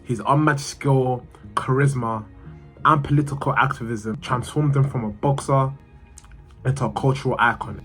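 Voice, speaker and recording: Guy reading out a history explainer script, normal pitch, trips on words a bit, music in the back. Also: steady tone, normal speed, a bit bright. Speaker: narrator of a sports history explainer video. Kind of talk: scripted narration, read aloud. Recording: music behind the voice. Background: background music.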